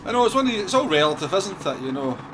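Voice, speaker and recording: A man's voice: high-pitched, sing-song, fast talker, music in the background. A man hosting a gaming livestream, chatting casually and replying to viewers as he goes. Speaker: livestream host. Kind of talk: casual gaming stream chat.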